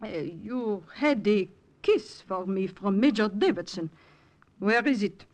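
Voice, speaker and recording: An actress playing a proud, resourceful older French resistance madame with a French accent, in 1940s radio style. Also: female voice, mid-range voice, lively intonation, slow pace. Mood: playful, commanding. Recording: noisy vintage 1940s radio recording.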